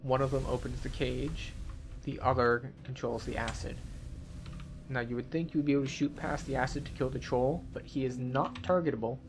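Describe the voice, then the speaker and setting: A man's voice: medium pitch, steady tone, a little fast, noisy background. A man giving video game walkthrough commentary as a tour guide, instructional and matter-of-fact.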